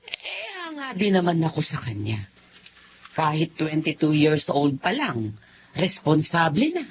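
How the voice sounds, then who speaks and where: An actress playing a frank, caring older sister who nags fondly in a Filipino radio drama. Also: female voice, low voice, measured pace, creaky, muffled recording, a little hiss or hum, full character voice. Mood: concerned. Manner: expressive, nagging concern.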